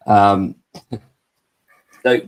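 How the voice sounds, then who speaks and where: Host: man, lowish voice, easygoing, asks questions with lots of ums. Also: steady tone, extremely slow, clear, resonant tone, rough recording. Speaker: podcast host. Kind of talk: casual interview chat.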